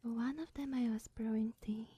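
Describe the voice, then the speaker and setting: Woman playing a breathy, high-voiced flustered witch. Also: fairly even intonation, normal speed, dark tone, no room sound. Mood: rambling, hospitable. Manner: rambling, awkward, quaintly wordy and apologetic. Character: flustered, eccentric young witch groundskeeper, clingy mad scientist. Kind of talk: ASMR character roleplay.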